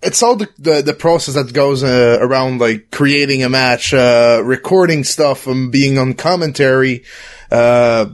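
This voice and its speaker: A man's voice: mid-range voice, a little slow. A man as a podcast guest, answering in a hesitant way with lots of fillers, on a desktop mic. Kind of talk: casual interview answers. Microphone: USB desktop condenser mic.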